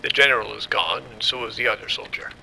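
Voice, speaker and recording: Male actor grim lead scientist, gravelly, very sharp tone, deliberate, commanding, cold, horror audio drama, faint rumble. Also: full character voice, rough recording. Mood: controlled, cold. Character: cold, commanding male doctor covering up a disaster.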